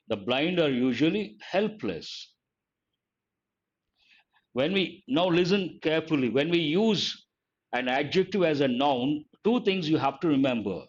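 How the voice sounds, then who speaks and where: An older man with an Indian English accent teaches an online English grammar class, calling on students by name, asking questions and repeating the key points slowly. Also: a little high. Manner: addresses students by name, asks and answers his own questions. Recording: dull lo-fi audio.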